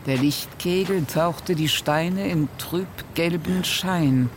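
A man reading dramatic, suspenseful horror narration for an audio drama in a measured way. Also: fairly high pitch, background noise.

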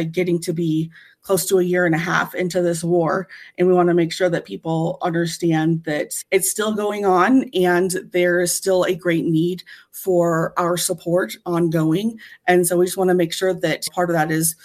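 A woman's voice: fairly low pitch, darkish tone. A woman, a volunteer fundraiser, giving an informative update in an earnest, conversational way. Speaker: guest charity fundraiser and volunteer.

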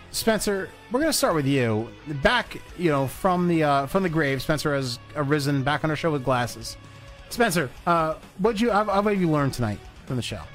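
Laughing, excitable male podcast host. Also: a little high. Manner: laughs often, swears casually. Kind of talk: casual chat.

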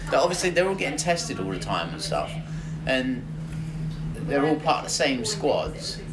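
A man trading teasing, quick-witted banter as co-host of a podcast recorded in a pub. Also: mid-range voice, sing-song. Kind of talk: casual pub chat. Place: busy pub. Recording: loud background noise.

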